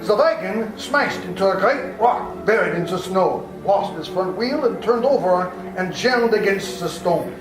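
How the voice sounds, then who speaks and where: A man's voice: high voice, lively intonation, unhurried, hardly any pauses. A man playing a commanding vampire hunter in an old-time radio drama, speaking in a dramatic, emphatic way. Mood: tense narration. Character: urgent, commanding male vampire hunter narrating the chase. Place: live performance in a public library. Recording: roomy live stage, music behind.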